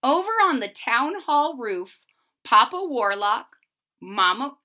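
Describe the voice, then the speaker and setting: A woman reading a picture book aloud to children in a dramatic way, pausing to put questions to young listeners. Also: sits high, big pitch swings, pushed and bright.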